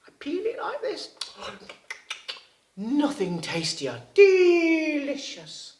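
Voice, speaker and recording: A woman's voice: high-pitched, wildly swooping pitch, extremely slow, a little mellow, a little hiss or hum, voice pushed up, full character voice. A woman voicing a cheeky male monkey in a read-aloud story, drawing out words with relish. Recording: echoey room.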